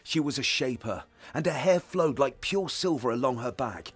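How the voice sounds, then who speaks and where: Husky, solemn warrior. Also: male voice, medium pitch, animated, normal speed, soft background music. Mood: reverent. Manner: formal, solemn storytelling cadence. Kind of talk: fantasy game cutscene acting.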